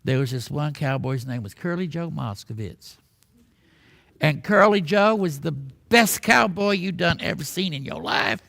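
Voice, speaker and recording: A man's voice: on the high side, expressive, normal speed, gravelly, fairly bright tone. A man voicing a hearty Texan cowboy storyteller with a folksy, drawling way of talking. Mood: boastful, hearty. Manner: drawling, folksy rustic speech. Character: folksy Texan cowboy yarn-spinner and loving husband, hearty.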